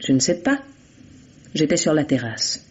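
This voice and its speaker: An actor playing an evasive, defensive murder suspect in a vintage radio mystery, hesitant and guarded under questioning. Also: male voice, on the high side, big pitch swings, a little slow, husky, full character voice. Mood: explaining, wistful. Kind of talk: 1950s French radio mystery acting. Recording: dull lo-fi old radio recording.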